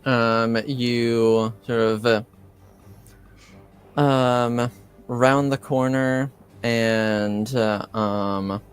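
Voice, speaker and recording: A man narrating a tabletop game as game master, unhurried and hesitant, with many pauses. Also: mid-range voice, steady tone, clear, resonant tone, soft background music.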